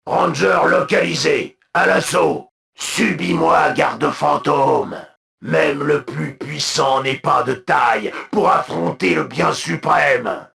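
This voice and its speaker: Zealous alien military commander, video game unit lines, heavy rasping creak, imperious, slow and deliberate, clipped orders. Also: male voice, fairly high pitch, sharp tone, exaggerated voice. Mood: stern, contemptuous.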